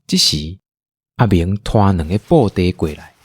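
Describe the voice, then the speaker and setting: A man with a Taiwanese accent narrating a scripted radio drama in an animated, dramatic storytelling manner. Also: sits low, measured pace, breathy edge.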